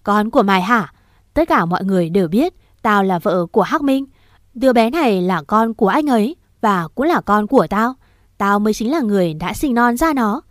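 Lady playing a nasty spiteful wife, hissing through her teeth, mid pitch. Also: animated, fairly quick, dry recording, studio quality, lightly acted. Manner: snarling through gritted teeth. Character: cruel scheming wife, spiteful and cold.

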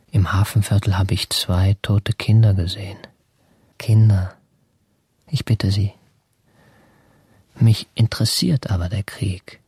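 An actor playing an insinuating, cynical German man in a radio drama, talking in a hushed, confiding and politely amused way. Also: lowish voice, breathy edge, no room sound, studio quality, full character voice. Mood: tense, controlled. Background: music.

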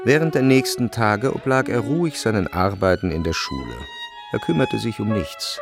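A man narrates a literary radio drama in a low voice with plenty of gravel and fry. He reads from a script as the storyteller, with faint music behind him. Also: lively intonation, moderate pace.

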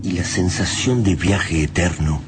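A man with a very low voice delivers dramatic scripted narration for a radio play. He sets out the scene in short, suspenseful phrases over prominent music. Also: unhurried.

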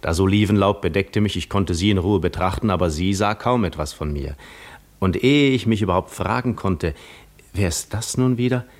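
Male actor as cunning Greek war veteran, low voice, measured, reflective, self-assured, articulate, 1950s radio play monologue. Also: natural voice. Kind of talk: 1950s radio drama monologue, literary first-person narration.

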